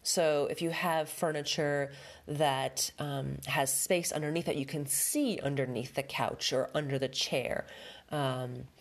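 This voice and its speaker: Middle-aged woman with a low voice and a stuffed-up nose, rambling chattily as a podcast host. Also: steady tone, a little slow. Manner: chatty, rambling, many fillers. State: stuffed up with a head cold. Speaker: podcast host, home stager. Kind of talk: casual solo podcast talk.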